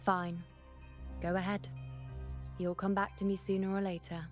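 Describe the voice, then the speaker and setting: A woman's voice: medium pitch, little pitch movement, moderate pace, natural voice. A confident, teasing fantasy game woman, played by an actress. She speaks with dramatic poise, and prominent music plays behind her. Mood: hurt, defiant. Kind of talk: video game voice acting.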